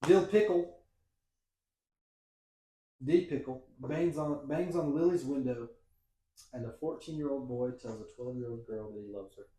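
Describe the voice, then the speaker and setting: A man's voice: medium pitch, unhurried, pauses often, mellow, reverberant, low-quality recording. A man hosting a casual podcast, walking through a film's plot with playful asides. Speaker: podcast host. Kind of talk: casual movie recap chat.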